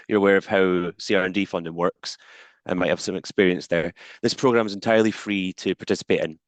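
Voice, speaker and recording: A man's voice: sits low, dry recording. A man hosting a video-call webinar, politely moderating questions with frequent hesitations. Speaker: webinar host.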